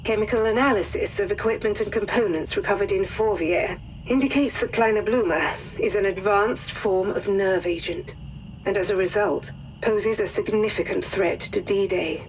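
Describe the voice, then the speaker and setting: Actress as composed wartime briefing officer, faint noise. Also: female voice, medium pitch, lightly acted, low-quality recording. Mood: grave, measured. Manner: formal, measured, serious. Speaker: mission briefing narrator. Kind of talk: scripted briefing narration.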